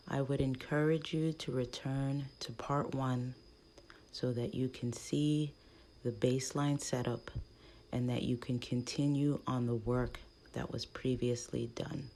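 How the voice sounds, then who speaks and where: A woman leading a guided meditation in a gentle, hushed manner, speaking in short soothing phrases with long pauses between them. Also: a bass voice, fairly even intonation, a little hiss or hum.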